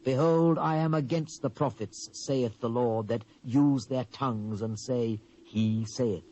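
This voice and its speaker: A British actor playing a stern, wrathful Hebrew prophet in a dramatised scripture reading, solemn and declamatory, swelling and dropping for emphasis. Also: male voice, mid-range voice, unhurried, warm tone, natural voice. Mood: indignant, accusing. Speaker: Bible narrator. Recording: dull lo-fi cassette transfer.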